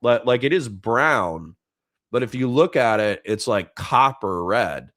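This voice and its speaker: A man's voice: mid-range voice, lively intonation, takes it slowly, a bit bright, no echo. A middle-aged man hosting a casual livestream, reading chat messages and thanking supporters in a dry, sincere way with frequent restarts. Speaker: livestream host.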